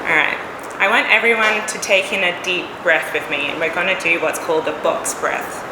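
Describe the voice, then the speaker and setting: A hoarse woman preaches in a casual, conversational way, with an occasional cough. Her voice sits a little low, and it echoes around a roomy church hall with a steady air-conditioning hum behind it. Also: normal speed. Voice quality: coughs now and then. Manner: relaxed, conversational, chatty asides. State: hoarse, has lost her voice. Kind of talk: casual sermon.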